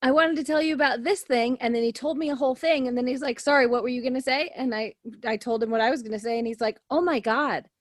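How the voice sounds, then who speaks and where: A woman co-hosting a podcast, chatting casually in an animated, giggly way. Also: high voice, fast, hardly any pauses, no echo, clean studio sound. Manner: giggly, animated anecdote telling. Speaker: podcast co-host. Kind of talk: casual chat.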